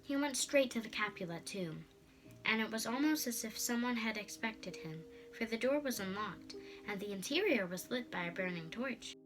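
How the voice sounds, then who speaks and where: A woman's voice: fairly high pitch, lively intonation, normal speed, faint music behind, low-quality recording. A female storyteller reading a storybook aloud in a dramatic narrating style.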